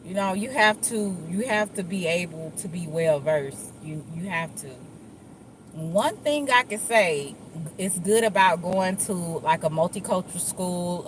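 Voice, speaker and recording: A woman's voice: on the low side, rough recording. A middle-aged woman with a Dallas accent, chatting in a rambling, laid-back way with lots of fillers and restarts. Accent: Dallas, Texas. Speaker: vlogger. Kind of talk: casual chat while driving. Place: car. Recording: faint vehicle noise.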